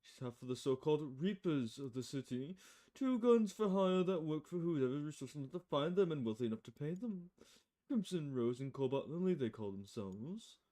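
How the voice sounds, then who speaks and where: Woman voicing a mellow, grumbling male private detective. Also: low voice, very animated, a little fast. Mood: matter-of-fact. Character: gruff, impatient male private detective fishing for information. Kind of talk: visual novel character voice, film noir dialogue.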